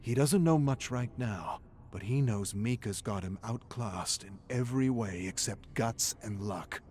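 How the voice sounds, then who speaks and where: A man's voice: mid-range voice, unhurried, a little mellow. A man reading scripted narration in a theatrical, tense storytelling manner.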